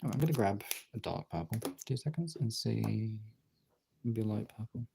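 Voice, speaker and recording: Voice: medium pitch, expressive, moderate pace, vocal fry, noisy background. A casual streamer chatting and muttering to themselves while working on art, with some swearing. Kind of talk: casual chat while colouring artwork.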